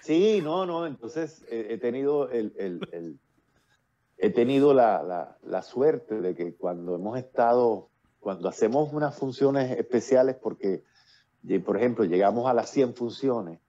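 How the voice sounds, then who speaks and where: A man's voice: medium pitch, expressive, unhurried, dark tone, dull audio. A Puerto Rican man in his fifties speaking with a Puerto Rican accent, talking at length as a guest on a video call, with many fillers and restarts. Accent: Puerto Rican Spanish. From Puerto Rico. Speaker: playwright and actor, guest. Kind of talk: casual interview over video call. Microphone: earphones with built-in mic on a video call.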